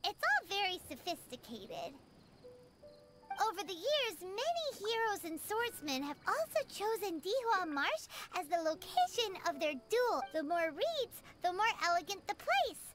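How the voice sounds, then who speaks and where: A woman's voice: noisy background, full character voice. A woman voicing a tiny, chirpy, greedy female fairy companion in a video game, who talks about herself in the third person. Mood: chatty. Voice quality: high-pitched, childlike, refers to herself in third person. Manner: bubbly and excitable, with quick swings into pouting. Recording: game audio with loud background music.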